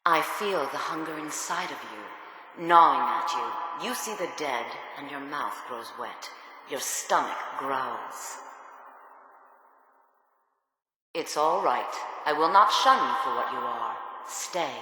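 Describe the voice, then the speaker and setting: A woman's voice: low-pitched, animated, a little slow, bright, forceful tone, husky, heavily acted. An actress voicing a sultry, seductive cannibal cultist woman in a fantasy video game, with a silky, beckoning delivery. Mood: hushed, seductive.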